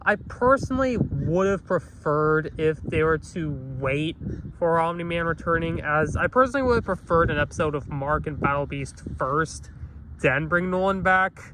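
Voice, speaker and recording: A man's voice: a little high, animated, moderate pace, noisy background. Nasal man rambling with a cough. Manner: rambling, with many restarts and self-corrections. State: has a cough. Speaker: reviewer. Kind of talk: casual spoken review.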